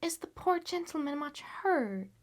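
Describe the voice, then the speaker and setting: A woman's voice: high voice, very animated, a little slow, voice pushed up. A woman voicing a gracious, composed lady of the house in a storybook reading, polite and kindly. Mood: gracious, concerned.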